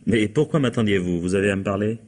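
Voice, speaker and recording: An actor playing a courteous, quietly scheming bourgeois husband and employer in a French radio drama, formal and suave. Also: male voice, lowish voice, lively intonation, quick pace. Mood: confiding, resolute.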